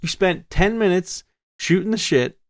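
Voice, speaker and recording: A man co-hosting a podcast in casual chat, rambling and animated, stumbling over restarts. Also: sits high, normal speed, dry recording, clean studio sound. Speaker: podcast co-host.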